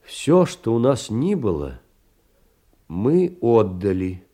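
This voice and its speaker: An actor playing a narrator of a literary memoir in an audio drama, telling it in a dramatic, deliberate, theatrical way. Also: male voice, lowish voice, big pitch swings, mellow, breathy edge, heavily acted. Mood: sorrowful, nostalgic. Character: male narrator reading a young woman's sorrowful memoir.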